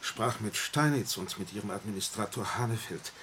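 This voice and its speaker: An actor playing a grave, courteous village pastor in a German radio play, speaking formally and politely. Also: male voice, lowish voice, moderate pace, a bit bright, lightly acted. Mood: grave, concerned. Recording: faint noise on old radio recording.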